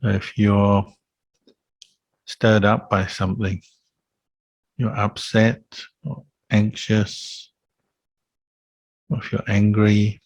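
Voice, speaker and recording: A man leading a guided meditation as a Buddhist teacher, calm and unhurried, leaving gentle pauses between phrases. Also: fairly low pitch, no echo, low-quality recording.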